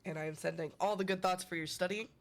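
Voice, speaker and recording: A man's voice: sits high, fairly even intonation, fast, fairly bright tone. A man with a cold and a stuffy voice, hosting a casual live stream and chatting with viewers by name. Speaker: livestream host.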